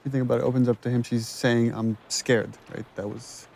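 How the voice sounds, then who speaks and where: A man's voice: mid-range voice, little pitch movement, fairly quick, creaky, darkish tone. A man giving director's commentary on a game, conversational and explanatory.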